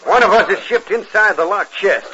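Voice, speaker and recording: A man's voice: sits high, lively intonation, moderate pace, crowd noise. An actor playing a brash, blunt-talking outlaw henchman in a 1950s radio western. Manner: blunt, slangy. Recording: muffled old radio recording.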